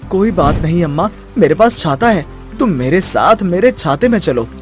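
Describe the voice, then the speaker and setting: Man voicing a high-pitched earnest little schoolboy. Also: lively intonation, normal speed, soft background music. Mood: caring. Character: earnest, kind-hearted young schoolboy in a fairy tale. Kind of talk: children's story voice acting.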